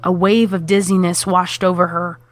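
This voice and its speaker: A woman's voice: lowish voice, level tone, normal speed, soft background music. A woman reading scripted horror fiction as the narrator.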